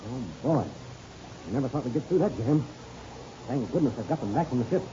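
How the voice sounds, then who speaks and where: Actor as a fast-talking, decisive pilot mentor. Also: male voice, mid-range voice, animated, mellow, close to an everyday voice. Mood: relieved. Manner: brisk, slangy instructions. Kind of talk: 1930s radio adventure serial acting. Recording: dull, noisy old radio recording.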